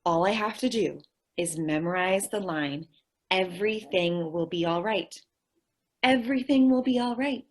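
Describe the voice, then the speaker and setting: A woman's voice: lowish voice, moderate pace, natural voice. A woman acting a sweet, dim-witted, earnest young secretary in an old-time radio comedy. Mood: earnest, naive. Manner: naive, literal-minded, earnest.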